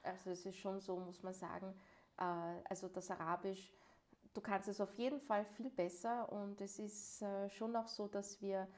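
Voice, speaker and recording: A woman's voice: medium pitch, level tone, normal speed, a little mellow. A young woman from Vienna, co-hosting a podcast in casual chat, agreeing often and laughing easily. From Vienna, Austria. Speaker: podcast co-host.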